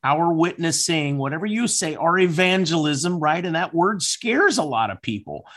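A man's voice: a little high, sing-song, normal speed, a bit bright. A man hosting a casual interview, asking questions in an encouraging way. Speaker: podcast host.

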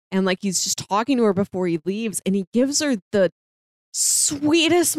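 A woman with a medium-pitched voice talks like a podcast co-host in casual discussion. She is animated and enthusiastic, and the recording is dry, with little room sound. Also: dry recording.

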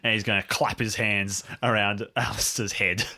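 A man's voice: lowish voice, little pitch movement, normal speed, creaky, sharp tone, husky. A man casually improvising narration of his character's actions in a tabletop game.

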